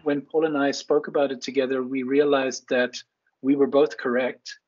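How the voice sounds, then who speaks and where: A man with a husky voice moderating and talking conversationally in an online meeting. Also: medium pitch, level tone, moderate pace, a little mellow, a little hiss or hum. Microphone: video call. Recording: dull online call audio.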